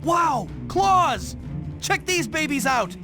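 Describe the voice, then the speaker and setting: A man voicing a vain, excitable lion in a cartoon video game, melodramatic and exclamatory. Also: very high voice, takes it slowly, full character voice. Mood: excited, exasperated. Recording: loud music over the voice.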